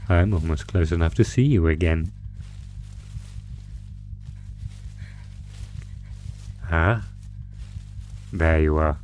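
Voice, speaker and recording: Slow, low-voiced predatory monster acted by a man. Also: darkish tone, low-quality recording. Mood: menacing, excited. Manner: theatrical, savoring, taunting, drawn-out phrasing. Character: predatory male monster gleefully hunting human prey, ancient, taunting. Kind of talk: horror audio roleplay.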